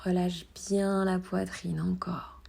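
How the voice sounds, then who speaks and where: Slow, mellow woman guiding meditation. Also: lowish voice, vocal fry, slight background noise. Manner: calm, soothing, unhurried instructions with pauses. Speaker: yoga meditation guide. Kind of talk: guided meditation, body scan.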